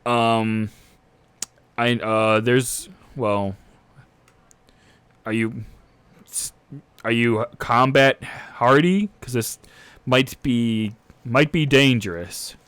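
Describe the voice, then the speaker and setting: A man's voice: medium pitch, very slow, pauses often, a bit bright, smooth, no room sound. A man playing a nervous, unsure new militia captain in a tabletop game, stammering and hesitant. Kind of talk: improvised in-character roleplay.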